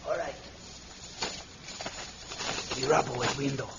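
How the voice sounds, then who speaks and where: Loyal stolid sidekick, halting clipped talk, old radio drama, super noisy. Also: male voice, medium pitch, fairly even intonation, gravelly. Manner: halting clipped phrases, speaks in third person. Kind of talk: vintage radio serial acting. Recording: very noisy vintage radio recording.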